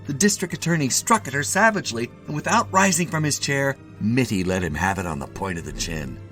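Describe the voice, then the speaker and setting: A man giving an expressive, theatrical reading of a literary short story as its narrator. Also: medium pitch, brisk, soft background music.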